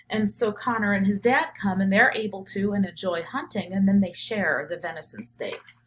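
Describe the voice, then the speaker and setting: A woman teacher with a lively, varied pitch reads a children's book aloud and stops to think through it. Her manner is reflective and explanatory, like a lesson. A faint noise sits behind her voice. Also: poor audio. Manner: reflective, thinking out loud, often checking with "right?". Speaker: professor and teacher reading aloud. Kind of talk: read-aloud of a picture book with think-aloud commentary.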